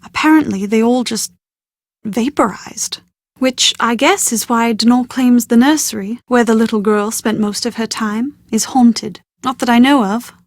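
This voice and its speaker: An anxious young British bride-to-be in a mystery video game, played by a woman. Her voice sits fairly high, and she speaks with genteel, articulate earnestness and a touch of drama. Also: measured pace, no room sound, clean studio sound, close to an everyday voice. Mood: wistful, storytelling. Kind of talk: scripted video game voice acting.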